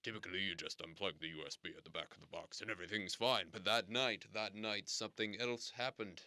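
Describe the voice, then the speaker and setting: An actor playing a formal, theatrical radio station boss who explains things slowly and swears grand oaths. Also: male voice, low-pitched, bright, forceful tone, dry recording, studio quality. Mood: explaining. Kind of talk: comedic audio drama acting.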